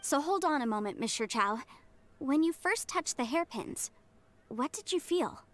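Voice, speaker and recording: A woman's voice: high voice, animated, moderate pace, fairly bright tone. A woman voicing a confident, meticulous young female legal advisor in a video game, precise and articulate. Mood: probing.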